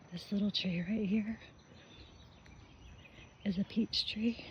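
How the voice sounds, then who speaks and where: An older woman chatting casually on a morning walk through her neighborhood, gushing and often soft and whispery. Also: medium pitch, muffled recording, slight background noise. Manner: chatty, gushing, softly spoken with breathy whispery stretches. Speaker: vlogger. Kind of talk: casual walking vlog. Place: outdoors on a neighborhood street.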